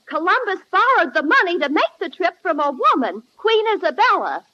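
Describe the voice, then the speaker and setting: An American woman playing a naive, chirpy, girlish comic sidekick on 1940s radio, with a deliberate singsong delivery. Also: extremely high pitch, big pitch swings, bright, forceful tone, exaggerated voice. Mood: chirpy, matter-of-fact. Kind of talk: 1940s radio comedy acting. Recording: muffled 1940s radio, faint noise.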